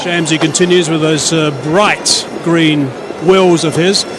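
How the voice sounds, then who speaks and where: A man's voice: a little high, steady tone, a little slow. A man presenting from the stands, chatting and joking during a live cricket broadcast. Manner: jokey, chatty interviewer. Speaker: pitch-side presenter. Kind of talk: casual live broadcast chat. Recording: broadcast with loud crowd and noise.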